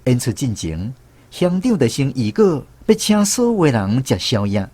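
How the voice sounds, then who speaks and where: A man's voice: medium pitch, sing-song, normal speed, warm tone. A man with a Taiwanese accent narrating a radio drama as a reminiscing storyteller. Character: reminiscing male storyteller of a touring troupe.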